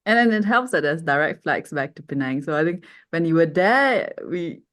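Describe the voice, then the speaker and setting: A man's voice: a little high, animated, moderate pace, fairly bright tone. A man from Malaysia co-hosting a family podcast, chatting casually and prompting his sibling with curious questions.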